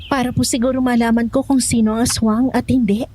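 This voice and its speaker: Young rural woman, played by an actress, high voice, deferential, uneasy and hesitant, horror drama. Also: flat delivery, quick pace, dark tone, a little hiss or hum, close to an everyday voice. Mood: polite, evasive. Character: polite, anxious pregnant young village woman hiding fear. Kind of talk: audio horror drama acting.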